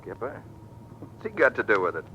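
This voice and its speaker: Fast-talking, proud young Navy pilot. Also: male voice, on the low side, sing-song, bright, forceful tone, muffled recording. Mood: polite, awkward. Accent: American. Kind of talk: old-time American radio drama acting. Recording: noisy vintage radio recording.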